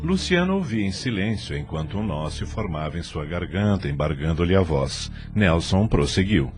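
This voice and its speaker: A man's voice: sits low, sing-song, moderate pace, music in the background. A man with a Brazilian accent reading dramatic scripted narration for an audio novel in a solemn, literary, sentimental manner.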